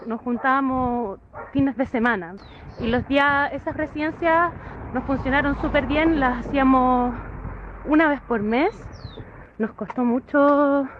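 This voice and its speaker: A woman's voice: high voice, a little slow, phone-quality sound, slight background noise. A woman from Chile, a dance theatre performer telling about her company's work in a friendly, explanatory way. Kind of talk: recorded voice message.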